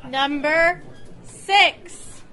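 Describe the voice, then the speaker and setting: A woman's voice: sits high, extremely slow, bright, forceful tone, soft background music. A woman hosting informally for a group, calling out items in a casual, self-deprecating way. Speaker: quiz host running music clips. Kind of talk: informal live announcing to a group. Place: restaurant luncheon.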